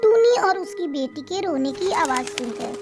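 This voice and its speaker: A woman's voice: extremely high pitch, lively intonation, moderate pace, music in the background. A woman narrating a scripted children's story as a storyteller.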